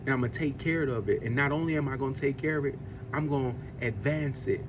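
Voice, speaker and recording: A man in his forties speaks in an earnest, preachy way, delivering a faith-based motivational monologue. His pitch sits in an ordinary middle range. A clear rumble of a vehicle runs underneath the recording. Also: fast talker. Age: 40s. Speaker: podcast host.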